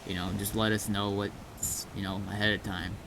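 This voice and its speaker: A man's voice: lowish voice, little pitch movement, moderate pace, fairly bright tone. A man who streams games, talking casually through roleplay in a hesitant, rambling way and repeating himself. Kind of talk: casual gameplay talk and improvised roleplay. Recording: slightly noisy home gaming recording.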